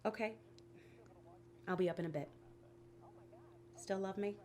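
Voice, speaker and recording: An actress playing a practical, exasperated wife with a dry, pointed way of talking in an audio drama. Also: female voice, mid-range voice, measured pace, a little mellow, a little hiss or hum, natural voice. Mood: concerned. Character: practical, sharp-tongued, exasperated wife of a magician.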